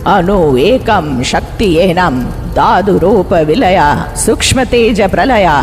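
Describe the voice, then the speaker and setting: A woman acting as a cartoon sorceress chanting spells solemnly. The delivery is very slow, drenched in long reverb, with prominent music underneath. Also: fairly low pitch, lively intonation. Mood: solemn, commanding. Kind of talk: incantation in animated folk tale. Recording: long cavernous reverb over loud music.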